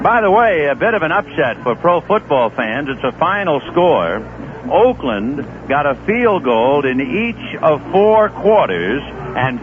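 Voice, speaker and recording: A man calls the baseball game in an easygoing, folksy way, with chatty asides between pitches. His voice sits a bit high and swings lively up and down. It comes through a thin, tinny old AM radio broadcast with faint hiss. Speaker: play-by-play baseball announcer. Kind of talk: radio play-by-play commentary.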